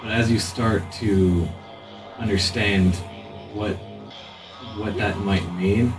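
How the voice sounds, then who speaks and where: A man's voice: lowish voice, fairly even intonation, slow. A man improvising narration as game master of a tabletop role-playing game. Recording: roomy, distant mic, faint music underneath.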